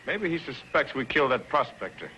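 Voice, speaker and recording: An actor playing a ruthless, calculating outlaw boss in a 1950s TV western, blunt and commanding, with rustic speech. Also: male voice, mid-range voice, big pitch swings, fairly quick, sharp tone, a little hiss or hum, poor audio. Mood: firm, scheming. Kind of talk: 1950s television western acting. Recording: old 1950s TV soundtrack with music.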